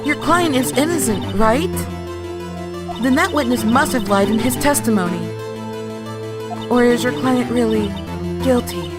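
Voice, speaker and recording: Game fan dub mentor female actor: assured lawyer, slightly high voice, instructive and encouraging, music underneath. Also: a little slow, pauses often, close to an everyday voice. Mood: coaching. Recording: music over the voice.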